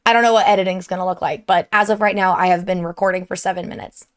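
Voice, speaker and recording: A woman's voice: fairly low pitch, steady tone, quick pace. A young woman with a sore, strained throat giving an earnest, rambling update to her viewers from her desk at home. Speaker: streamer and YouTuber. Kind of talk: candid personal update to viewers.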